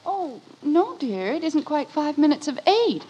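An actress playing a sensible, patient middle-aged wife and mother with a husky voice in an old radio sitcom, reasoning and fussing mildly. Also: high voice, big pitch swings, unhurried, mellow, lo-fi sound. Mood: puzzled, correcting. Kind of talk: 1940s-50s radio sitcom acting.

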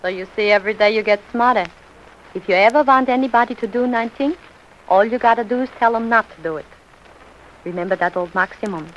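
An actress playing a shrewd, soothing middle-aged mama with a New York Yiddish-inflected accent and muddled folksy grammar, in 1940s radio comedy style. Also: female voice, a little high, fast talker, a bit bright, slight background noise, lightly acted. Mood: knowing. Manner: malapropisms and inverted, folksy grammar. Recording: muffled vintage radio recording.

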